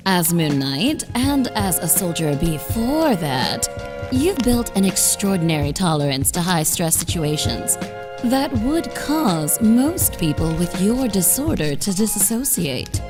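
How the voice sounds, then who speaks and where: Lady as a blunt probing therapist, measured but really expressive, comic dub with music behind. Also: on the low side. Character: probing, blunt female therapist, composed and exacting.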